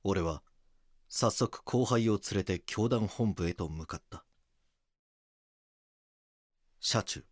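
A low-pitched man a serious police detective in a Japanese-style crime audio drama. He narrates and speaks with grave, measured restraint. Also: dry recording, studio quality. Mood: serious, restrained. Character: earnest male police detective narrating his investigation.

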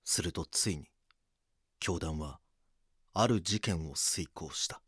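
A man playing a grave police detective who narrates an audio drama in a brooding, deliberate, dramatic way. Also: low-pitched, fairly bright tone, breathy edge, no echo, studio quality, exaggerated voice.

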